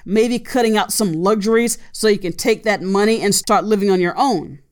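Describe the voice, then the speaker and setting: A woman's voice: medium pitch, normal speed, a little mellow. A woman in her late thirties hosting an advice podcast, talking earnestly and repeating her key points for emphasis. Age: 30s. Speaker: podcast host.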